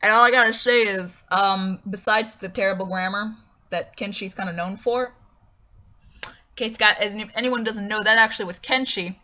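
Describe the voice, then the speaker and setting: A woman's voice: mid-range voice, moderate pace, sharp tone, highs cut off. A woman reading and commenting on a review in an emphatic, opinionated way. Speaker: host reading a character review aloud, then commenting.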